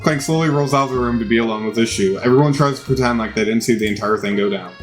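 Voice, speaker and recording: A man's voice: mid-range voice, fast, soft background music. A man reading game text aloud as a dramatized narration during a playthrough.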